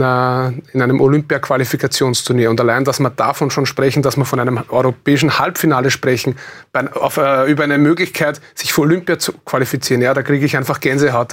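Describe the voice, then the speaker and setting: Male athlete interviewee, Austrian accent, mid-range voice, keen and sincere, TV studio talk. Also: medium pitch. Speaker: athlete interview guest, handball goalkeeper.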